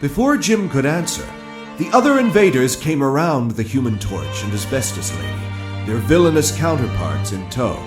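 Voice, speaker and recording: A man's voice: mid-range voice, sing-song, moderate pace, distant mic, close to an everyday voice. A man narrating a war adventure audio drama in a dramatic, scripted storytelling style. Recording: music under the voice.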